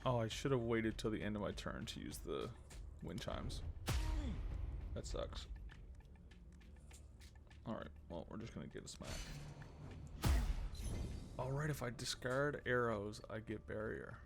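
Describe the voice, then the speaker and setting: A man's voice: fairly low pitch, measured pace, creaky, long pauses, background noise. A man giving casual let's play commentary, muttering his decisions and reading game text aloud as he goes. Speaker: video game streamer. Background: game music.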